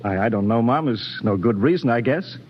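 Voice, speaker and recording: An actor playing an emotional, excitable rocket ship captain reunited with his family in a 1950s radio drama. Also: mid-range voice, fairly quick, close to an everyday voice. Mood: warm. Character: astonished male ship captain, son of the family. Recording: dull lo-fi 1950s radio broadcast, music behind.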